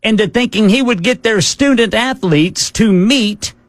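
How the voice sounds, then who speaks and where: A man's voice: sits high, a little slow, no echo, studio quality. A man co-hosting a radio talk show, telling stories and reading out a news item with wry asides. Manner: animated, wry storytelling. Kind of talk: reading a news story and chatting.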